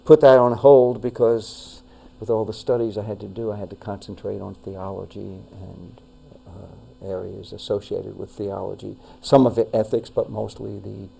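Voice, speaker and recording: An older man with a New York accent speaks as a guest on a local talk show, his voice low and heavily gravelly. He talks in a measured way, often pausing on fillers and restarting a phrase. A faint mains hum sits under the recording. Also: little pitch movement, dark tone, low-quality recording. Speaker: retired pastor, guest. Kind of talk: casual interview talk.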